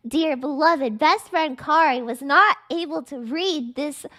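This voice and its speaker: A woman's voice: sits high, measured pace, pushed and bright, no room sound, studio quality. A woman streamer reading a story aloud in a theatrical, mock-grandiose, dramatic narrating style.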